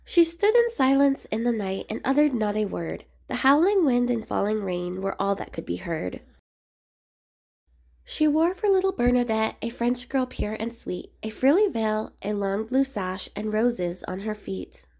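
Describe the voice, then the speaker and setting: A woman reading a rhyming children's picture book aloud in a measured, expressive sing-song way. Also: on the high side, creaky. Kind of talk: storybook read-aloud narration of rhyming verse.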